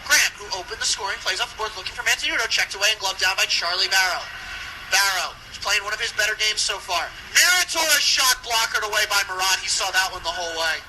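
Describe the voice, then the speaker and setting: High-pitched man calling hockey on radio. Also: lively intonation, quick pace. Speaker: ice hockey play-by-play commentator. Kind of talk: live radio sports commentary. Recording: noisy live radio sports broadcast.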